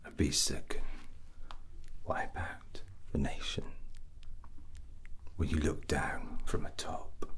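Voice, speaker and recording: A man playing a brooding, ambitious dragon-riding prince in a whispered ASMR roleplay, speaking breathily in deliberate, drawn-out phrases. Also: a bass voice, bright, forceful tone, exaggerated voice.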